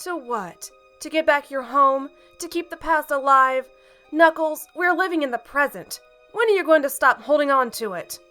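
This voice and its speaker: Woman as a high, reproachful bat lady. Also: normal speed, sharp tone, faint music behind. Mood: doubtful. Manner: reproachful, emphatic. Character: frank, exasperated adult female bat, secretly caring. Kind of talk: audio drama acting.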